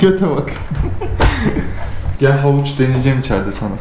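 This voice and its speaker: A man's voice: mid-range voice, sing-song, normal speed. A man in his thirties chatting casually to camera as a vlog host. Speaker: vlog host at a veterinary clinic. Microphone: action camera at arm's length. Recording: echoey clinic rooms, faint background noise.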